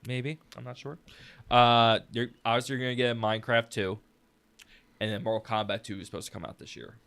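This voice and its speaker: A man's voice: medium pitch, steady tone, a little fast, creaky, a bit bright, smooth. A man hosting a podcast in a casual group discussion, speaking informally to his co-hosts. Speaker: podcast host.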